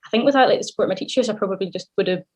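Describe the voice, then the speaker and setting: A woman's voice: medium pitch, rapid-fire, darkish tone, breathy edge. Chatty young Scottish woman with Irish lilt. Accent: southwest Scottish with a slight Irish lilt. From southwest Scotland. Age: early 20s. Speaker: podcast guest. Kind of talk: casual interview chat.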